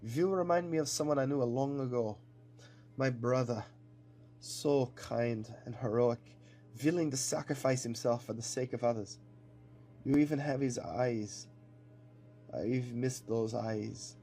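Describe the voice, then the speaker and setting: A man voicing a grieving, menacing villain captain in a tabletop story, solemn, slow and deliberate over music. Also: medium pitch, warm tone, lightly acted. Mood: wistful menace. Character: grieving, menacing male villain war captain, coldly regretful.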